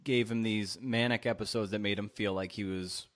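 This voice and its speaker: Low-voiced man hosting an interview podcast. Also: steady tone, moderate pace, a bit bright. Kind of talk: conversational interviewing.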